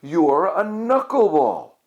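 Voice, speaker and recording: Man playing excitable high-pitched storybook kid. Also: takes it slowly, vocal fry, muffled recording, heavily acted. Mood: amazed. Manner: excitable, exclaiming. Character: eager young cartoonish talking balls, excitable schoolchildren. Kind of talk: children's storybook character voices.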